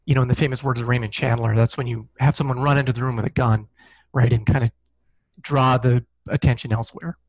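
A man's voice: mid-range voice, no room sound, low-quality recording. A middle-aged man, an author guest, chatting casually in an interview over a video call, with many easy fillers. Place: small room with a corkboard. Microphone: headset mic on a video call.

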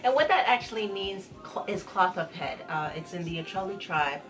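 A young woman from Uganda giving a casual tutorial as a guest, explaining in a halting, conversational way. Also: on the low side, expressive. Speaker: guest head wrap vendor giving tutorial. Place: small indoor room, sequin backdrop. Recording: music playing behind the voice.

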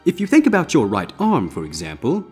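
A man voicing a theatrical, ominous pony stage magician with deliberate showman's patter in a storybook reading. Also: fairly high pitch, wildly swooping pitch, slightly rough, soft background music, voice pushed up, exaggerated voice. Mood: commanding, eerie. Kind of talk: character voice in audiobook-style fan fiction reading.

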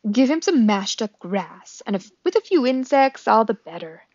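A woman voicing an old gray goose, female, brisk, blunt and suspicious, squawking as she scolds and advises. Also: on the high side, very animated, darkish tone, no room sound, dull audio. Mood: practical. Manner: scolding, blunt, practical advice-giving.

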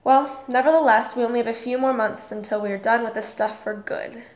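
A woman's voice: fairly high pitch, fairly even intonation, brisk, close to an everyday voice. A woman playing a caring, curious teenage girl in an audio drama.

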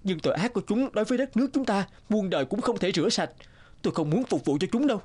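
A man's voice: high-pitched, expressive, fast talker, a little mellow, slightly rough. A man voicing a proud, defiant young captive warrior in a cartoon, earnest and impassioned. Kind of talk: dramatic cartoon dubbing.

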